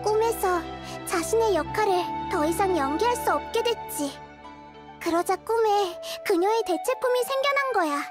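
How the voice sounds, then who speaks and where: A woman playing a small, wise child deity in a game, speaking in a calm, measured, thoughtful way. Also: extremely high pitch, music in the background. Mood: calm, explaining. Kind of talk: scripted game character dialogue.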